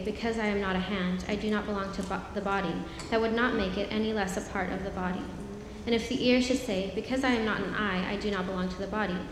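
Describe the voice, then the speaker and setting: A woman reading a scripture passage aloud in church in a steady, measured way. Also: medium pitch, a little hiss or hum. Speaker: scripture reader. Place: church sanctuary. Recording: very echoey church hall, heard from afar.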